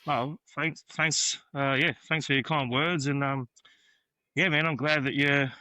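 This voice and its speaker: A man's voice: fairly high pitch, steady tone, a little slow, sharp tone, no echo, low-quality recording. A young man from New South Wales with an Australian accent, a guest chatting easygoingly about where he grew up, with many ums and uhs. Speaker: podcast guest, graffiti artist. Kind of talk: casual interview chat.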